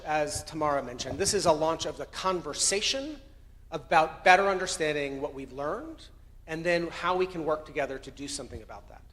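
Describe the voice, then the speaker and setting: A man's voice: a little high, a bit bright. A man with an American accent, raised in Colombia, giving an earnest, conversational conference presentation about research findings. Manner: conversational, earnest, self-correcting. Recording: long hall reverb.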